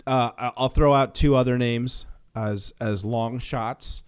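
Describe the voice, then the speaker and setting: A man's voice: mid-range voice, fairly even intonation, measured pace, smooth, dry recording, studio quality. A man co-hosting a podcast, casually discussing film news. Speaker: podcast co-host.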